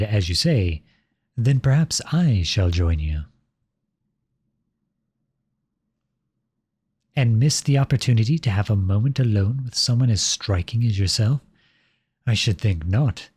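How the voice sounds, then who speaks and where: A man playing a flirtatious, silver-tongued royal at a masquerade ball in an ASMR roleplay, speaking in courtly, formal, flattering phrases with a husky voice. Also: lowish voice, normal speed, no room sound, studio quality. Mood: intrigued, flirtatious. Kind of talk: ASMR roleplay, scripted romantic monologue addressed to listener.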